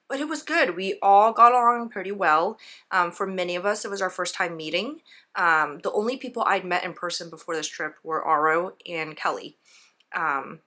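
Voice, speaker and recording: A woman hosting a knitting video, chatting casually while her hoarse voice recovers and clearing her throat often. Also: on the low side, sharp tone. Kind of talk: casual vlog recap.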